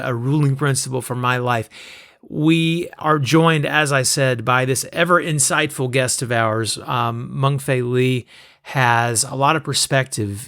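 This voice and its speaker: A man hosting a podcast, speaking in a hoarse, raspy voice in a measured, reflective introduction. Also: mid-range voice, fairly bright tone. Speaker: podcast host.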